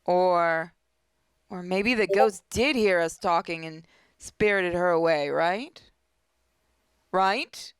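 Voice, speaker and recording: A woman's voice: fairly low pitch, slow pace, long pauses, smooth, dry recording, clean studio sound. A woman hosting a gaming video, reading visual novel text aloud expressively with casual commentary.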